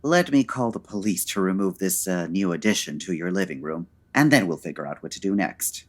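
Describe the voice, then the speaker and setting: An actor playing a suave, unflappable gentleman detective in an old-time radio style comedy, with dry, urbane, formal wording. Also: sits low, lively intonation, a little fast. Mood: composed.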